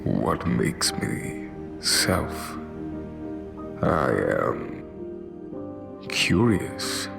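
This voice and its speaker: Gravelly, slow, halting creator god. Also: male voice, very deep voice, huge pitch swings, music in the background, exaggerated voice. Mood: searching, intense. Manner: halting, weighty, incantatory delivery. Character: lonely male creator god, grieving, curious, solemn. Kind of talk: fantasy audio drama acting.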